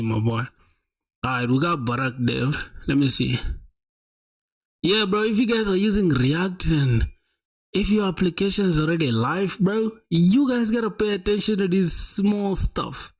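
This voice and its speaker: A young man live-streaming, reviewing viewers' websites in a casual, slangy way, full of "bro" and "my boy". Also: on the high side.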